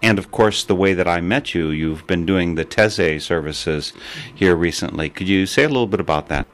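A man hosting an interview, putting questions in a measured, conversational way. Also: deep voice. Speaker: interviewer, host.

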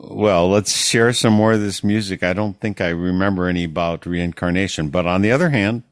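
A man's voice: sits low, brisk, dry recording, studio quality. A man hosting a community radio interview show, earnestly spelling out names and addresses and urging listeners to take part. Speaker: radio host.

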